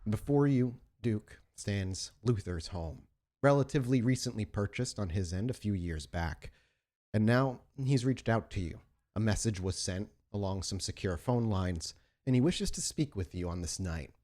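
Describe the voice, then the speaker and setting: A man narrating as game master, setting a cinematic scene in a measured, dramatic manner. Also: on the low side, animated, a little mellow. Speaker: game master narrating a tabletop roleplaying session.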